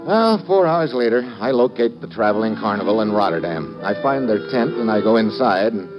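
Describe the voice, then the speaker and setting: An actor playing a wisecracking, suspicious American government agent in a vintage radio detective drama, slangy and sardonic. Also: male voice, on the low side, expressive, a little fast, natural voice. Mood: wry narrating. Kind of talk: 1950s radio detective drama, first-person narration and dialogue. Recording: dull vintage radio recording, music behind.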